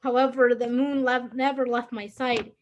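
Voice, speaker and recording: A teenage student from Guatemala, a native Spanish speaker with a Guatemalan Spanish accent, reading her personal prose aloud in an earnest, measured way. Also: fairly high pitch, little pitch movement. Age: late teens. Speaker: student reader.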